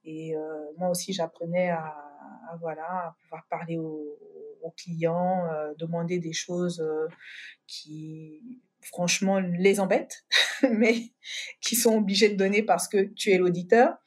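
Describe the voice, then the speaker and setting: A middle-aged woman from Côte d'Ivoire, a guest telling her story in a relaxed interview, with many pauses, fillers and restarts. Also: lowish voice, husky. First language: not English. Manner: thoughtful, many fillers and restarts, laughs easily. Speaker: podcast guest, company founder.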